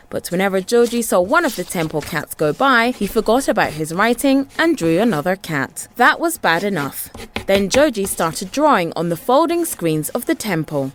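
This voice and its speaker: A woman reading a children's tale in an expressive, dramatic storytelling style with rhythmic phrasing. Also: medium pitch, slight background noise.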